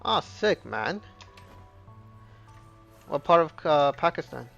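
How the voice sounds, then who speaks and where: Guy streaming a game, creaky voice, rambly chat, kinda dull mic. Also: on the high side, sing-song, slow pace, a bit bright. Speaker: live streamer. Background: faint game music.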